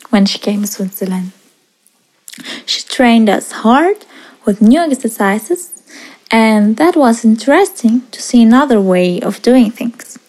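Slow, earnest woman in her twenties. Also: on the high side, darkish tone, low-quality recording. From Iraq. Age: 20s. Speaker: podcast guest. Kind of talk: prepared personal story, read aloud.

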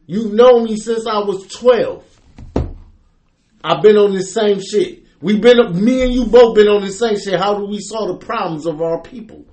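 Forceful, very high-voiced co-host man. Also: moderate pace. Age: 40s. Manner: forceful, preachy storytelling, profane. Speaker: podcast co-host. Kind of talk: casual debate and storytelling.

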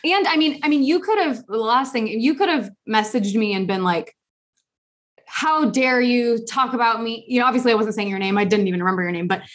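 A woman's voice: fairly high pitch, fairly quick. A young adult woman hosting a podcast, talking earnestly in casual conversation with lots of fillers and restarts. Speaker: podcast host.

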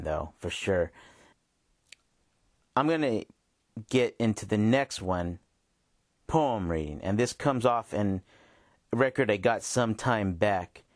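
A man's voice: fairly low pitch, no room sound, studio quality. A man hosting a podcast, chatting casually and plainly in a laid-back way between recordings. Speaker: podcast host. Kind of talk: casual solo chat between played recordings.

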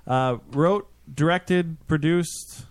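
A man's voice: fairly high pitch, drawn-out words. A man in his late twenties hosting a podcast, rambling through crude, self-deprecating banter on a studio mic. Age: 20s. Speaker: podcast host. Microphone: studio microphone with headphones.

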